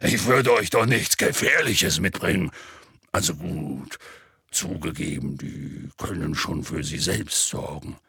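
A man voicing a big, good-natured half-giant in an audiobook, with a gravelly, raspy voice and a hesitant, colloquial drawl. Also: sits low, a little slow, pushed and bright, no room sound, clean studio sound, voice pushed down, full character voice. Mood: dismissive, proud. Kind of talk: audiobook character voice.

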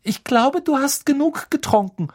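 A man puts on a storybook schoolgirl, pitched well up from his usual voice. She sounds earnest, strict and insistent, a loyal young friend. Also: expressive, normal speed, darkish tone. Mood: stern.

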